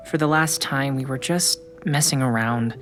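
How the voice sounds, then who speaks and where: A performer voicing a sullen, sarcastic, put-upon schoolboy who grumbles defensively in a family audio drama. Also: female voice, rumbling low, takes it slowly, soft background music. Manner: sulky, grumbling, sighing.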